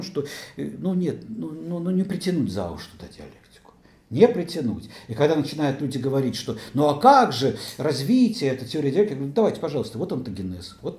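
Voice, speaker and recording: A middle-aged man speaking in a philosophical seminar, halting and often repeating and restarting his phrases. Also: medium pitch, warm tone. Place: small meeting room, indoors. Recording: echoey room.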